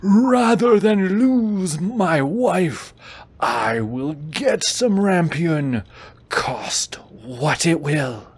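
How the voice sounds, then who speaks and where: A man plays a devoted, anxious husband from a fairy tale. He speaks in a raised, earnest voice with theatrical storybook phrasing at a measured pace. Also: on the high side, lively intonation, slightly rough, pitched above the performer's own voice, exaggerated voice. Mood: resolute. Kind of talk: bedtime storybook character voice.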